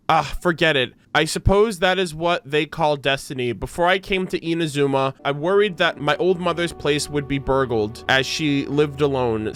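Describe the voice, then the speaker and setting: A man streaming a video game, giving informal, slangy, expressive commentary and reading game text aloud. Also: fairly high pitch.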